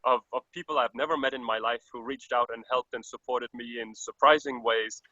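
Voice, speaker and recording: An earnest man with a Danish accent reflects on his travels in English, often restarting his sentences. His voice is bright and forceful, and it sounds dry and a little dull over a video call line. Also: medium pitch, steady tone, brisk, no echo. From Denmark. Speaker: traveler guest.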